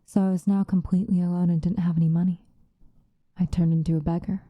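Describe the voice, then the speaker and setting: Woman playing a dark, hushed, seductive vampire. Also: on the low side, no echo. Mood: somber, resigned. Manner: soft, breathy, drifting into whispers, unhurried. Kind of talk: ASMR roleplay, intimate confessional monologue. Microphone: close microphone, dry.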